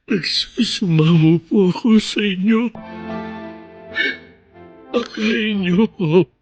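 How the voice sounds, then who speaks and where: An anguished penitent praying in a radio drama. He is tearful and beseeching, extremely slow and somewhat high, with faint music behind. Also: a little mellow. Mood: anguished, pleading. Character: anguished penitent man begging God for guidance.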